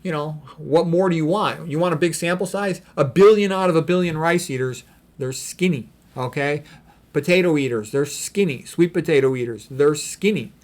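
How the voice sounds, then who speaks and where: A man's voice: a little high, moderate pace. A man giving an informal lecture in a blunt, opinionated, emphatic way, talking conversationally with rhetorical questions and frequent check-ins. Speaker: physician giving health commentary. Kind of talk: informal lecture, reading quotes and commenting.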